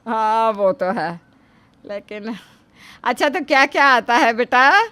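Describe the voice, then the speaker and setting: A woman's voice: fairly high pitch, animated, slow, pushed and bright, exaggerated voice. A woman playing a wary, placating middle-aged mother of a groom in a dramatized moral story, with a coaxing manner. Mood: probing.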